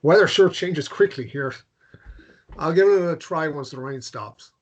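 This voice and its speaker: A man's voice: fairly high pitch, measured pace, muffled recording. A man playing an earnest, haunted man in a drama table read, understated and hesitant. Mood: subdued. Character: earnest, haunted man keeping his promises.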